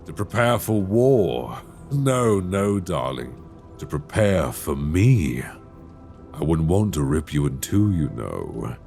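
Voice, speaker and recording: Male actor as an ancient, towering dragon for an ASMR roleplay; low, unhurried, seductive and menacing, close binaural mic. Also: very animated, vocal fry, darkish tone, soft background music, full character voice. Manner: slow, intimate, coaxing and menacing.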